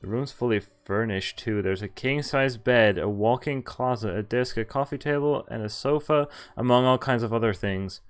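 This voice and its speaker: A man's voice: fairly low pitch, smooth. A man playing through a visual novel, reading the text aloud and commenting in a wry, conversational way. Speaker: streamer. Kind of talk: casual game walkthrough, reading dialogue aloud and commenting. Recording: game music behind the voice.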